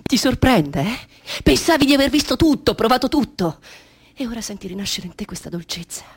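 Radio drama: a grieving, defiant mother, husky, swinging widely between outcry and breathy whisper. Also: on the high side, lively intonation, brisk. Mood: exultant. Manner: intense, theatrical, breaking into whispers. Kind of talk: dramatic radio theatre monologue.

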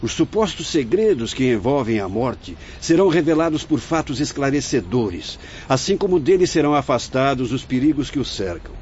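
A man acting a wise, patient spirit mentor in a radio drama, with a Brazilian accent and measured, preaching delivery. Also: mid-range voice, sing-song, a little mellow, close to an everyday voice. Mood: solemn, preaching. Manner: measured, preaching, formal oratory. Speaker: actor. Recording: dull lo-fi radio recording, background noise.

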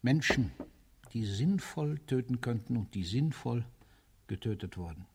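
A cynical, battle-sick ruler in a German-style stage radio play. He speaks deliberately and rhetorically, with bitter weight at a middle pitch, his voice somewhat husky. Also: expressive, a little mellow. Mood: brooding, resigned. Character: world-weary, cynical male military ruler, self-confessed butcher. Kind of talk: theatrical radio drama monologue.

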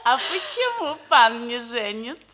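High-voiced cheeky young maid. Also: female voice, very animated, unhurried, over a noisy crowd. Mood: teasing. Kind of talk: 1960s radio comedy acting. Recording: 1960s radio play, crowded voices.

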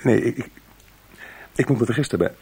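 An actor playing a nervous, apologetic records clerk in a radio play, speaking haltingly. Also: male voice, medium pitch, expressive, a little mellow, breathy edge. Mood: nervous, defensive. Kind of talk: radio drama acting.

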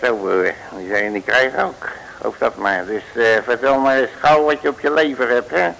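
A man playing a boastful, bumbling detective in an old radio play, chummy and quick to laugh. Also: mid-range voice, gravelly, fairly bright tone, rough recording. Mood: tough. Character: boastful bumbling male detective Piet Loeris. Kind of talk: 1950s radio play acting. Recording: old radio recording with faint hiss.

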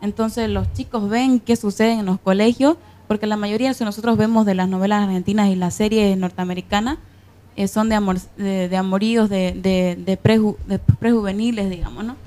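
A woman explaining her opinion in a discussion, in a halting, step-by-step way. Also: mid-range voice, fairly even intonation, warm tone. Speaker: panel participant.

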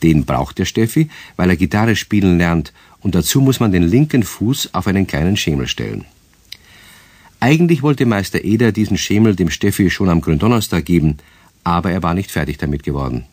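A man's voice: low-pitched, big pitch swings, brisk, darkish tone, slightly rough, no echo, full character voice. A man narrating a children's radio play as a scripted storyteller. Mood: storytelling.

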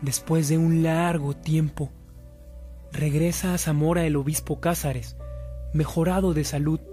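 A male narrator with a Mexican accent tells a scripted radio drama. His voice is fairly high for a man, and soft music plays behind it. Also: fairly even intonation, a little slow.

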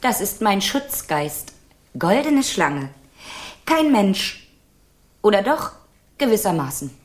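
A willful, romantic princess in her teens in a radio fairy tale. Her voice is husky and mid-pitched, and she speaks with commanding determination. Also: big pitch swings, slow pace. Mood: defiant. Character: headstrong fifteen-year-old princess, stubborn and romantic. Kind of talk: German radio play acting.

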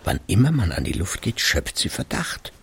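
An actor playing a brooding retired husband in his sixties who secretly drinks, muttering an evasive, halting monologue in a gravelly radio-drama voice, often sinking to near whispers. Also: deep voice, wildly swooping pitch, exaggerated voice. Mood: furtive, muttering.

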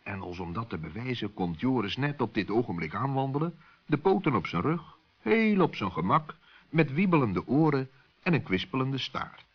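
A man narrating a children's story as an expressive storyteller. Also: medium pitch, moderate pace, slightly rough. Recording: dull, lo-fi old recording.

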